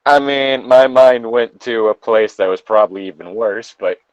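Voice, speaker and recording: A man's voice: medium pitch, moderate pace, smooth, dry recording, dull audio. A man co-hosting a comedic fan fiction reading, moving between narration and sardonic, incredulous casual banter.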